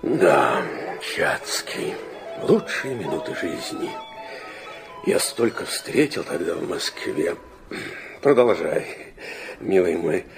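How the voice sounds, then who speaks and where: An urbane middle-aged nobleman in a radio play. His low voice takes its time and swoops through a very wide range, theatrical and indulgent, with nostalgia underneath. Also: sing-song, slow, gravelly, music in the background, exaggerated voice. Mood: delighted. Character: suave, nostalgic middle-aged aristocratic father. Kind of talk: Russian radio drama acting.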